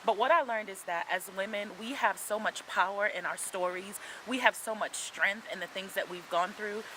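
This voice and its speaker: A woman's voice: mid-range voice, normal speed, a bit bright, a little hiss or hum, poor audio. A woman in her forties, an author, talking in a casual interview, earnest and reflective, with frequent ums as she gathers her thoughts. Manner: earnest, reflective, faith-centered storytelling with frequent "um".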